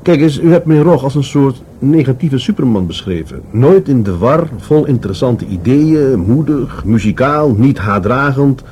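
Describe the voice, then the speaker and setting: Man acting an inquisitive police investigator, radio drama, blunt, animated pitch, faint background noise. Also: moderate pace, few pauses, natural voice.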